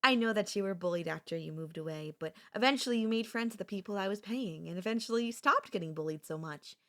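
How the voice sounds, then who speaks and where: A young woman playing an obsessive, possessive kidnapper and childhood friend in an ASMR audio roleplay, speaking in a soft, intimate, pleading manner. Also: mid-range voice, fast, close to an everyday voice. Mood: tender, relieved.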